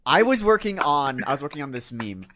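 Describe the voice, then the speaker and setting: A man co-hosting a casual podcast in a halting way, often repeating words as he gets his thought out. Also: a little high, sing-song, heavy background noise. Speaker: podcast co-host. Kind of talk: casual group podcast banter.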